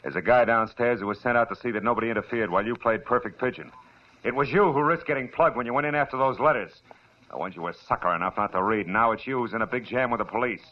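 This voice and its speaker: A man's voice: medium pitch, quick pace, lightly acted. A man playing a dry, wisecracking hard-boiled private detective in an old radio drama. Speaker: narrator and lead. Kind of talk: 1940s radio drama acting. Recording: dull lo-fi old radio recording.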